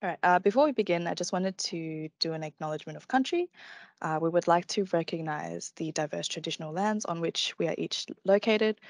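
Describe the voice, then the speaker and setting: A woman hosting an online webinar, talking with many ums and pauses over a video call. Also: deep voice, vocal fry, no echo. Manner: hesitant, many fillers. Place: home room, video call.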